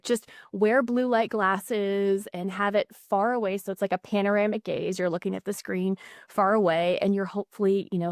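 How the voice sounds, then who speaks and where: A woman's voice: medium pitch, darkish tone, no room sound, studio quality. Woman neuroscientist explaining casually. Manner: explanatory, frequent "like" fillers. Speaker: neuroscientist guest. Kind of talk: casual podcast conversation.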